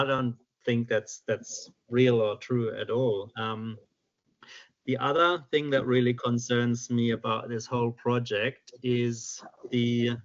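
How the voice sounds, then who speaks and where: A man with a German accent, earnestly presenting a submission at a public hearing from home over a video call, halting with fillers. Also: medium pitch, a bit bright, lo-fi sound.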